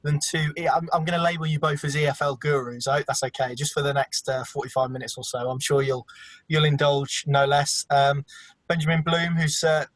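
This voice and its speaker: A male host with a slight Norfolk accent and a somewhat higher voice opens a casual football chat, often stumbling and repeating words as he begins. The recording is dry and close, with no room sound. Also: level tone, a little fast, a bit bright, dry recording. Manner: hesitant, repeats words when starting sentences.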